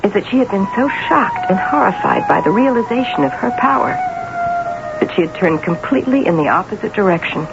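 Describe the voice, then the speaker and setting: A composed, powerful career woman in a radio drama. Her voice is mid-pitched, on a dull old broadcast with clear background noise. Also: lively intonation, a little fast. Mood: matter-of-fact. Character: composed, powerful other woman, a talent manager. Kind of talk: radio drama acting and first-person narration.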